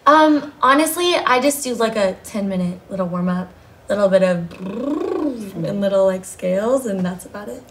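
A woman's voice: mid-range voice, lively intonation, normal speed, fairly bright tone, slight background noise. A young woman worship singer chatting as a guest, upbeat and laughing often, with lots of "like". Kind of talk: casual interview chat. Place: bright living-room studio set.

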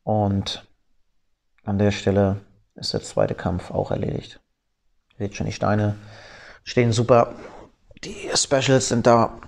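A man with a slightly low, gravelly voice and a cold talks through a mobile puzzle battle. His tone is dark and mellow, and he explains each move analytically, pausing with fillers as he thinks it over. It sounds like a home desktop microphone. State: has a cold. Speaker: gameplay commentator. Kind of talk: gameplay commentary.

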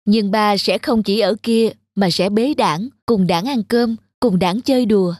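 A woman voicing a gentle, devoted young wife and mother in an animated folk tale, tender and pleading. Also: medium pitch, unhurried, a little hiss or hum, natural voice. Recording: music under the voice.

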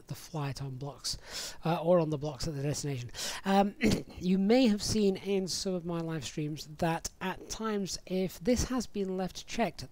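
A man's voice: a little high, a little mellow. A man narrating a casual tutorial in a conversational, explanatory way, with slightly hesitant phrasing. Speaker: flight simulator streamer giving a tutorial. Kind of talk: casual software tutorial voice-over.

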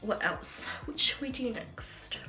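A young woman reviewing makeup in a casual vlog, excitable and full of fillers, her voice a little rough from a cold and sore throat. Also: high voice, slow. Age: young adult. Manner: gushing, excitable, many fillers. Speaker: beauty vlogger. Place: small room at home, indoors. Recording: echoey room with faint music.

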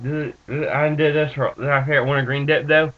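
A man in his twenties with a stuffed-up nose, rambling in a laid-back, halting way as he talks to his webcam in a small room with bookshelves. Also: on the high side, little pitch movement. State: stuffed up from allergies. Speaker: vlogger reviewing dip tobacco. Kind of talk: casual webcam vlog. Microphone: built-in webcam mic. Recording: muffled lo-fi webcam audio.

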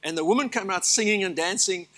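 Older south african pastor preaching on a church stage, bright voice, a bit high, stresses his lists. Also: fast. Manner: earnest preaching with emphatic lists and asides. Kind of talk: sermon.

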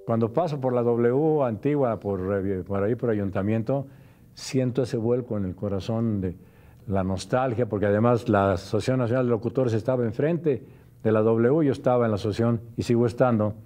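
An older Mexican man reminisces with nostalgia in an interview. He is a veteran announcer with a mellow, medium-pitched voice. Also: normal speed.

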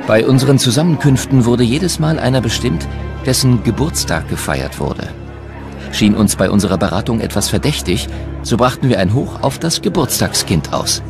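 An actor playing a miner and political activist, telling his memories in measured, literary sentences for a documentary radio play. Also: medium pitch, very animated, gravelly, music in the background. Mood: recounting. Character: German working-class miner and activist, recounting his life. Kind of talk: first-person memoir reading in a documentary radio play.

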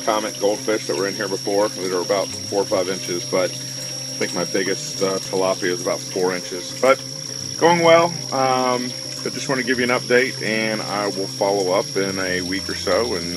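A man's voice: on the low side, moderate pace. A man giving a casual, rambling video update on his fish tanks, with lots of fillers. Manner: relaxed, rambling with many fillers. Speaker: aquaponics hobby farmer. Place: indoor grow room with fish tanks, briefly outdoors. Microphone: phone camera held while filming. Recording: noisy phone recording with pump hum.

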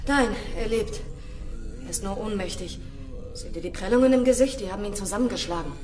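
An actress playing a fearless, sharp and challenging captive in a radio drama. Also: female voice, medium pitch, fairly quick, far from the mic, music in the background, natural voice. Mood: concerned, brisk. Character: brisk, fearless captive woman.

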